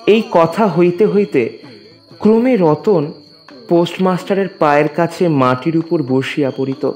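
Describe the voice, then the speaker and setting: A man narrating a literary story as a scripted reading, in a formal, measured storytelling manner. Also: fairly high pitch, a little mellow, faint music behind.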